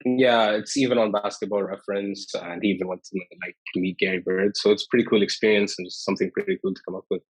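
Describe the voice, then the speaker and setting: A man's voice: on the low side, fairly even intonation, fast talker, few pauses, breathy edge, no room sound, rough recording. A man interviewing someone casually, with informal, hesitant phrasing.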